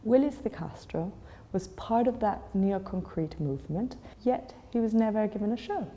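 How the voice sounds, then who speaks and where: A woman's voice: medium pitch, expressive, normal speed, warm tone, big-room sound. A woman in her forties from Chile, answering interview questions thoughtfully, with many fillers and restarts. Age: 40s. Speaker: gallery owner.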